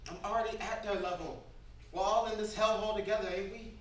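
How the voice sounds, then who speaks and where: A man acts out a hot-headed young male prisoner with a slightly high voice, blunt and defensive. It is a staged reading, heard from far off in a very echoey, noisy room. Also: steady tone, fast talker, close to an everyday voice.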